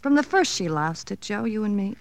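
A woman's voice: medium pitch, sing-song, brisk, gravelly, no echo. An actress playing a brittle, scheming, cornered woman in a 1950s radio crime drama, theatrical and bitterly flippant. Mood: bitter, pleading.

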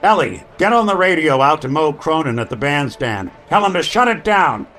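An actor playing a world-weary, wry robot detective in an old-time radio noir style. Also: male voice, fairly high pitch, expressive, moderate pace, fairly bright tone, soft background music. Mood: urgent. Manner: terse, wry, hardboiled.

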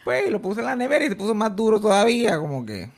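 A man's voice: high voice, quick pace, creaky. A man from Texas hosting a podcast, chatting casually and telling gossipy stories while acting out the voices of the people he quotes. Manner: animated storyteller, acts out quoted voices. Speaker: podcast host.

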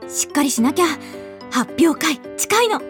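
An eager, girlish high school girl acted by a woman in a radio drama, with an extremely high voice. She is animated and pushy, her intonation swinging widely over background music. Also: moderate pace. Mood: bright, eager. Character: cheerful, pushy teenage schoolgirl, eager ballet beginner.

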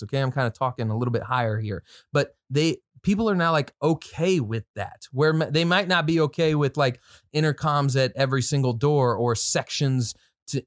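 A man's voice: mid-range voice, dry recording. Casual male podcast host, dry close sound. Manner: informal, slangy, conversational asides. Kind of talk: casual product commentary, part reading from notes.

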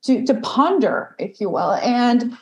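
A chatty, opinionated woman whose first language is French, with a fairly high voice. She talks casually in an interview, full of fillers and light laughs. The recording sounds dull and lo-fi. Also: big pitch swings, a little slow. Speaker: guest, dating content creator. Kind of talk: casual podcast conversation.

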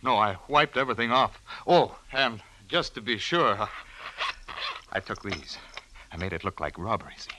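An actor in a 1940s-style radio crime drama playing a guilt-ridden, desperate husband, agitated and halting. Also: male voice, on the low side, animated, noisy background, close to an everyday voice. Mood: shaken, panicked. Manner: agitated, stammering, halting delivery. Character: panicked, guilt-ridden husband who has just killed his employer.

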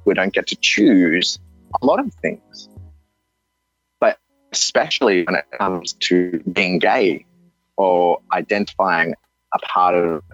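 A man with an Australian accent speaks slowly and earnestly, stumbling over and restarting his phrases as he reflects. His voice is fairly low. The recording sounds dull and dry, with faint music underneath. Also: a bit bright, dry recording. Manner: halting, earnest, with frequent restarts. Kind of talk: reflective personal testimony.

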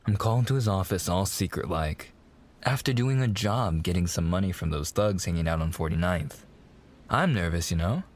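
An actor playing a mafia boss's young son, an eager, sweet fiancé coaxing in slangy gangster talk in an intimate roleplay. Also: male voice, deep voice. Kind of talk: boyfriend ASMR roleplay, intimate monologue.

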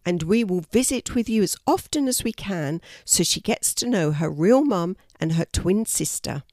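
A woman voicing a kind female bush animal in a children's storybook, soft and reassuring. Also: on the low side, animated, moderate pace, dry recording, clean studio sound, close to an everyday voice.

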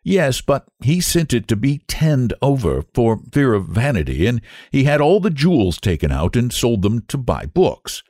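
Elderly storybook statesman, voiced by a man: deferential, flustered, careful phrasing, animated pitch. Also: moderate pace, hardly any pauses, no room sound, clean studio sound. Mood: wistful. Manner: hesitant, courtly, deferential.

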